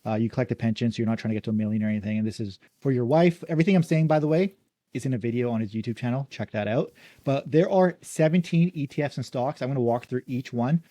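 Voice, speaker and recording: Casting: male podcast host, mellow tone, dry close sound, brisk and chatty. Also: mid-range voice, dry recording. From Canada. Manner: upbeat, quick, leans on "like" and "uh". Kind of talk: casual interview.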